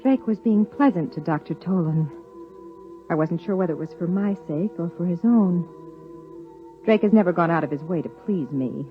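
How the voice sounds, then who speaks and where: An actress playing a pensive, uneasy wife who narrates in a reflective, confiding way in a 1950s radio drama. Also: female voice, lowish voice, normal speed, natural voice. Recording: tinny 1950s radio recording, faint music.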